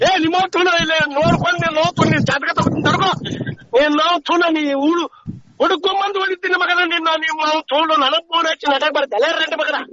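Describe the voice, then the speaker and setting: A man's voice: very high-pitched, steady tone, fast, sharp tone. A man with a North Karnataka accent in an angry phone argument, aggressive and constantly swearing. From Badami, North Karnataka, India. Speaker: prank call target. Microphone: phone call. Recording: noisy, muffled phone call recording.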